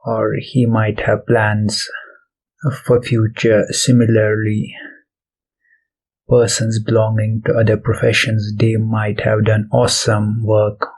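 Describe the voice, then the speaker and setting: A man leading a guided meditation as its host, speaking softly and deliberately in short phrases with pauses between them. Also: on the low side, completely flat delivery, poor audio. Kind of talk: guided meditation, soft spoken instruction. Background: background music.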